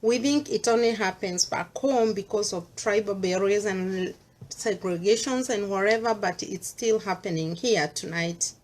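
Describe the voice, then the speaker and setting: Earnest middle-aged Kenyan woman, personal vlog monologue, medium pitch, mixing in Swahili. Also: measured pace, darkish tone, poor audio. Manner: earnest, mixes English with Swahili. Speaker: vlogger sharing personal views.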